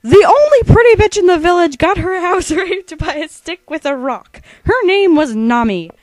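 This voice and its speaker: A woman's voice: very high-pitched, lively intonation, moderate pace, a little mellow, dry recording. A woman co-narrating a fan-made comic parody in a slangy, mock-dramatic storytelling style.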